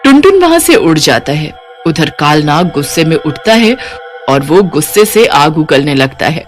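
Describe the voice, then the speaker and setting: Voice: mid-range voice, sing-song, moderate pace, soft background music. A narrator reading a scripted cartoon story.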